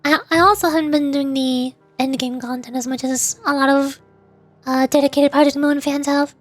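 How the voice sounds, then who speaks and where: Girl streamer just rambling while gaming, pretty high voice, bit of music under it. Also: little pitch movement, moderate pace, no room sound. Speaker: streamer, VTuber. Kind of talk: casual livestream chat while gaming.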